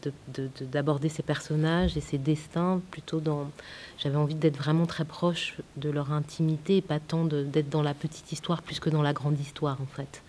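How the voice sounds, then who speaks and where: A woman's voice: low-pitched, steady tone, moderate pace, darkish tone. A woman film director in an interview, speaking thoughtfully with many hesitations and restarts, her first language Italian. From France. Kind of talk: festival interview. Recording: faint hiss.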